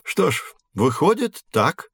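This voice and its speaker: An actor playing a learned professor who explains history in a measured, didactic way in an educational audio drama. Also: male voice, fairly high pitch, very animated, gravelly, a bit bright, slightly rough, dry recording, studio quality, exaggerated voice. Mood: patient, explanatory. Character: learned male professor guiding children through history.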